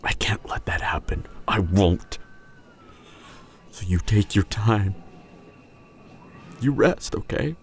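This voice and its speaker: A man playing a tender, grieving young hunter boyfriend in an intimate, emotional audio drama, halting and husky, often dropping toward a whisper. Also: fairly low pitch, lively intonation. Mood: vengeful, low, then tender, pleading. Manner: halting, emotional, long pauses. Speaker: audio roleplay voice actor.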